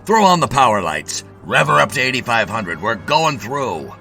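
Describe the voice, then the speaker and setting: A man's voice: medium pitch, very animated, normal speed, creaky, sharp tone, soft background music, pitched above the performer's own voice, heavily acted. A man voicing an iron-willed, fearless navy commander in a story reading, barking his orders. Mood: stern command.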